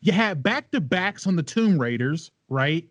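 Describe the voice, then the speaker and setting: A man's voice: on the high side, big pitch swings, unhurried, a bit bright, no room sound. A man, a podcast panelist who banters casually in an argumentative, exasperated way.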